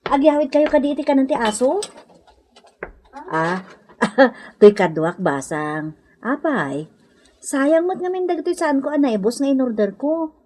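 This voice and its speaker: An actress playing a well-off, generous madam with an Ilocano accent in a comedy radio drama, speaking in an animated, coaxing way. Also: female voice, high voice, unhurried, pauses often. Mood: chatty. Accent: Filipino, Ilocano.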